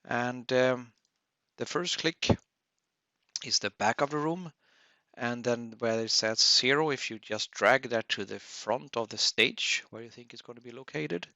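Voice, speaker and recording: A man presenting a technical webinar tutorial as an instructor, explaining step by step in a halting way. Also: medium pitch, pushed and bright, no echo, lo-fi sound. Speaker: audio engineering instructor.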